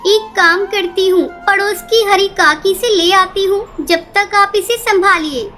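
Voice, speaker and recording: Woman acting a caring little cartoon bird wife, very high bright voice, earnest, anxious, expressive, faint music behind. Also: measured pace, full character voice. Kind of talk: Hindi children's cartoon dubbing.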